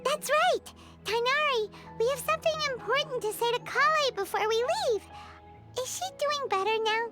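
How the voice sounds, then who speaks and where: A woman's voice: very high-pitched, moderate pace. A woman voicing a small, cheerful, childlike female companion in a video game, speaking in a chirpy, earnest way. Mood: cheerful, eager. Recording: background music under the voice.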